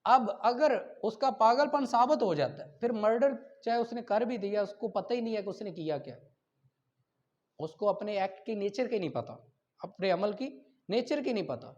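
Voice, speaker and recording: A man's voice: high voice, animated, moderate pace, a little mellow. A Pakistani man giving a classroom law lecture, explaining didactically and often checking that students follow. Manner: didactic, checks understanding often, switches between Urdu and English. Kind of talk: classroom lecture, reading statutes aloud and explaining them. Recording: dull, lo-fi lecture recording.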